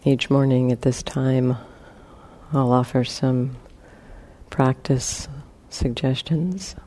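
A woman leading a guided meditation as a teacher, speaking gently in short, spaced phrases with long pauses. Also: rumbling low, fairly even intonation.

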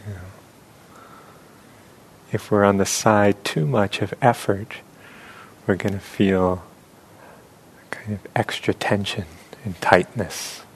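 A man leading a guided meditation as a teacher, speaking reflectively in short phrases with long, unhurried pauses. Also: fairly low pitch, steady tone, slight background noise.

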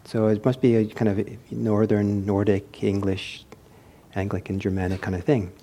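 A man giving an informal meditation talk, reflective and hesitant as he searches for words, with a soft, husky voice. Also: on the low side, flat delivery, moderate pace, slight background noise. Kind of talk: informal spoken teaching with anecdotes.

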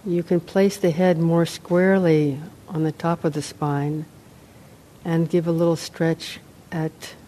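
Low, mellow woman guiding meditation. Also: a little hiss or hum. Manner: unhurried, with long pauses between short phrases. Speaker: meditation teacher. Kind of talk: guided meditation. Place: meditation center, live talk.

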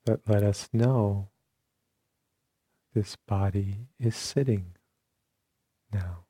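Male meditation teacher, low-pitched and very slow, calm delivery with generous pauses. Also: husky, no room sound. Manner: calm, unhurried, with long pauses between short phrases. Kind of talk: guided meditation instruction.

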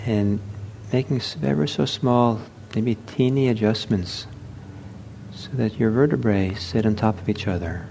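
Mellow low-voiced man guiding meditation. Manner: unhurried, gentle, halting with pauses. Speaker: meditation teacher. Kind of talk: guided meditation instruction. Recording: low rumbling background noise.

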